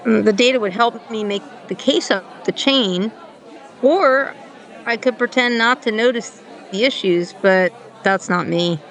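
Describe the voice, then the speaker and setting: A woman's voice: a little high, measured pace, fairly bright tone, some chatter behind, low-quality recording. A woman playing a frustrated, thoughtful company director in a radio play, speaking in a hesitant, conversational way. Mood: worried.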